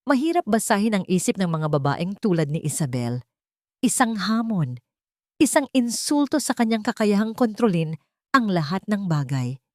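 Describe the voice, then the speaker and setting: A woman's voice: on the low side, darkish tone, no room sound, clean studio sound. A woman narrating a scripted romantic drama as a storyteller.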